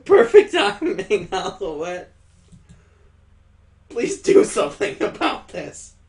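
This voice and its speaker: A man's voice: high-pitched, expressive, extremely slow, fairly bright tone, full character voice. A man voicing a flustered, stammering visual novel girl. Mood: exasperated pleading. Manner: stammering, exasperated. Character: flustered, brooding girl, protesting teasing affection.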